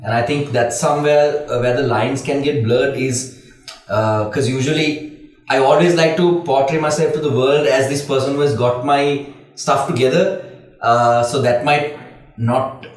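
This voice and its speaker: A man's voice: medium pitch, steady tone, moderate pace. Guy around 30 chatting in a group, says like a lot, super echoey and kinda far from mic. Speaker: participant in a couples discussion. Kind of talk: casual group discussion. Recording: echoey room, distant mic.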